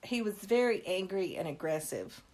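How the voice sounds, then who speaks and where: A woman's voice: medium pitch, lively intonation, moderate pace, darkish tone. A woman co-hosting a podcast in casual chat, teasing and blunt, swearing freely. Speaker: podcast co-host.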